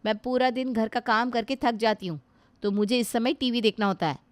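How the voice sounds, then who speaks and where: A woman voicing a rude, sharp-tongued young daughter-in-law who scolds her mother-in-law. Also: on the high side, moderate pace, dry recording, natural voice. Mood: scolding. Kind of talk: Hindi family drama acting.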